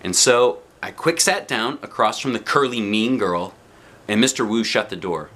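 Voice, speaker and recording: A man's voice: on the low side, animated, moderate pace, sharp tone. A man reading a children's storybook as an anxious, outspoken little kindergarten girl, with blunt, emphatic childlike phrasing. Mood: matter-of-fact, opinionated.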